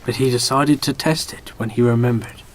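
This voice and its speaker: A man narrating a comic radio play as a podcast host, with dramatic, deliberate storyteller phrasing. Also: mid-range voice, fairly even intonation. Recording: amateur podcast, clearly noisy background.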